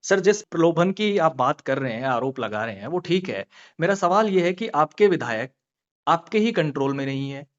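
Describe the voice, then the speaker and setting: Persistent male interviewer, slightly high, muffled recording. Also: expressive, normal speed. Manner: persistent, pressing, rephrases questions. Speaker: journalist, interviewer. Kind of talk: political interview questioning. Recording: dull, band-limited call recording.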